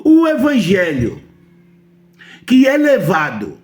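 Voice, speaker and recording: A man's voice: high-pitched, huge pitch swings, slow, a little mellow. A man from Brazil with a Brazilian Portuguese accent, preaching a sermon emphatically and often asking his listeners whether they understand.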